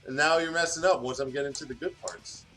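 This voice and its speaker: A man's voice: on the high side, quick pace, over music, low-quality recording. A man doing a comedic read-aloud of fanfiction, stumbling over words and breaking off to comment. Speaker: fanfiction reader on a gaming show.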